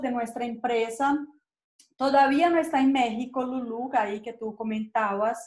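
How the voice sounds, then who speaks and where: A woman in her thirties from Colombia with a Colombian accent, hosting a casual live makeup chat from a small room on a webcam, often checking in with "¿sí?". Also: a little high. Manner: chatty, tags questions with "¿sí?". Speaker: host of a live makeup session. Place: small indoor room, seated at a desk. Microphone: webcam at desk distance.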